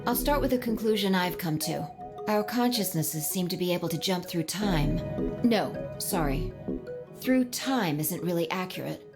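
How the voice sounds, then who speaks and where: An actress playing a composed, knowing young woman in a video game, speaking in a measured, matter-of-fact, slightly teasing way. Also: on the low side, lively intonation, music in the background. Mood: explaining.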